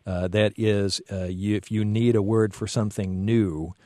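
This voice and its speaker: A man's voice: lowish voice, fairly even intonation, normal speed, mellow, no echo, clean studio sound. A man hosting an interview, reflecting on his guest's points with some hesitation. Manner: reflective, restating ideas with hesitations. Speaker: host. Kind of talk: interview conversation.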